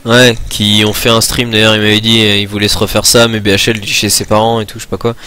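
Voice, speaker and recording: A man's voice: fairly low pitch, little pitch movement, quick pace, bright, forceful tone, a little hiss or hum. A man in his twenties from France, chatting casually while streaming a game, rambling with many fillers and restarts, talking into a desk mic at his computer. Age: 20s. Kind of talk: casual chat while gaming live.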